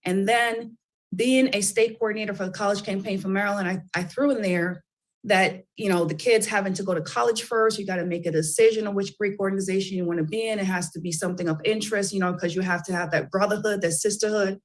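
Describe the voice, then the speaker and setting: A woman's voice: medium pitch, fairly even intonation, quick pace. A middle-aged woman, a children's book author, talking as a guest in a radio interview, chatty and full of fillers and restarts.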